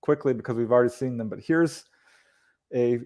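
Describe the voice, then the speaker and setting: A man's voice: mid-range voice, fairly quick, darkish tone. A man co-hosting a video call, walking through slides with easy laughs and clarifying questions. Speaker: co-host.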